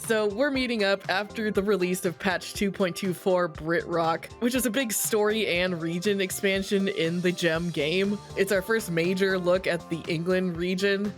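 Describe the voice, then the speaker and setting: Wry podcast co-host, mid pitch. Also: normal speed, hardly any pauses, soft background music. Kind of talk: casual podcast chat.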